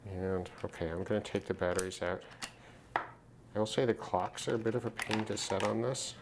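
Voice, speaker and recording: A man giving casual, unscripted commentary on a hands-on repair, halting and musing to himself as he works. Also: fairly low pitch, fairly even intonation. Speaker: host of a hobby radio repair video. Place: at a desk, indoors. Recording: clear background noise, handling clicks.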